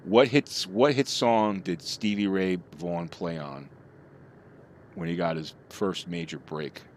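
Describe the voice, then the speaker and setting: A man's voice: low voice, slow, slight background noise. A middle-aged man chatting casually with his live chat while he plays, rambling from topic to topic. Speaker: streamer.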